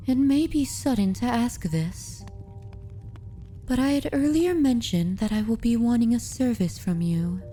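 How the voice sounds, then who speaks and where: A woman playing a dominant, possessive yet tender vampire mistress in an ASMR roleplay, speaking softly and intimately in a formal, courtly manner. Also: on the high side. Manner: slow, deliberate, formal and courtly. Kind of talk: ASMR roleplay, soft intimate delivery with whispers.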